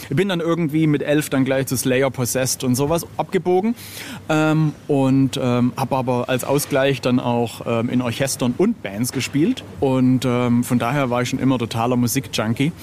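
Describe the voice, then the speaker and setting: A man's voice: medium pitch, moderate pace, darkish tone, slight background noise. A middle-aged man chatting casually as an interview guest, hesitant and self-ironic, often searching for his words. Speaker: interview guest, illustrator of record covers.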